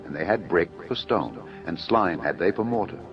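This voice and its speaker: Low male voice reading old bible text, formal and measured, kinda muffled with music under it. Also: animated, creaky. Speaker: narrator of a dramatised audio Bible. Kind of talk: solemn scripted scripture reading. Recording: dull lo-fi audio under music.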